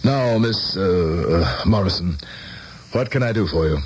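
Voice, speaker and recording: A man's voice: deep voice, expressive, a little slow. An actor playing a polite, slightly puzzled man receiving a visitor, in 1970s radio drama style. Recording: slightly noisy old radio recording.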